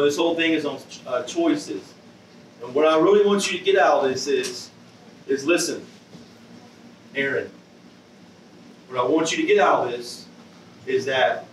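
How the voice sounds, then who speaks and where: A middle-aged man, a loud in-your-face youth pastor, introducing a guest speaker to the kids. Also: medium pitch, a little hiss or hum. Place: dim church youth room with an audience. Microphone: far camera. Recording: roomy hall sound from a distance.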